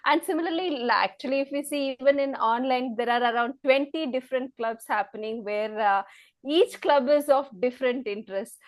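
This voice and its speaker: A woman presenting the program in a measured, explanatory way. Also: high-pitched.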